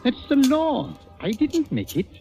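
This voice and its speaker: An actor playing a middle-aged, fretful colonel who governs a prison, emphatic and officious, in an old-time radio drama. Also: male voice, extremely high pitch, huge pitch swings, a little slow, gravelly, darkish tone, exaggerated voice. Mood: troubled, insistent. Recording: muffled old radio recording with music.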